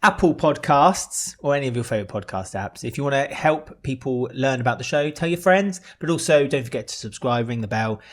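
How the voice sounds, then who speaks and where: A man's voice: medium pitch, expressive, fairly quick, few pauses. A young man co-hosting a casual podcast chat, playful and laughing often as he rambles through plugs and jokes. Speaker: podcast co-host.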